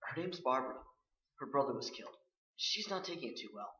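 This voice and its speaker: A man's voice: medium pitch, lively intonation, quick pace, pushed and bright, highs cut off. An actor playing an earnest, helpful young man in a staged radio play. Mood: earnest, hurried. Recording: distant, echoey, noisy stage recording.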